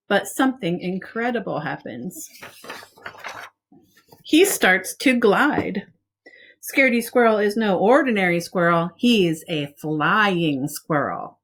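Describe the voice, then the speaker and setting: Woman, medium pitch, children's story read-aloud, expressive, teacherly, direct address to young listeners. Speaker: preschool teacher reading a picture book.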